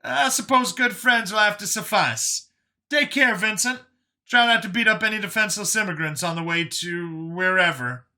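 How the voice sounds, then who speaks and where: A man's voice: high voice, moderate pace, pushed and bright, voice pushed up, heavily acted. A man voicing a wry, breezy older man who teases like a would-be stepfather, in an audiobook story. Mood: cheerful, teasing.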